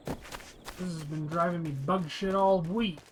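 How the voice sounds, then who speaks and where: A man's voice: fairly high pitch, steady tone, slow, noisy background. A man giving casual, blunt and sweary commentary as he plays and describes a video game. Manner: reads game dialogue aloud. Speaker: video game streamer describing gameplay for blind listeners.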